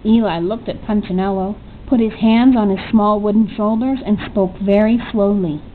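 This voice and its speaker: A woman's voice: mid-range voice, steady tone, moderate pace. A woman reading a children's story aloud as a narrator. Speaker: storybook reader. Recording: tinny narrow-band audio, faint noise.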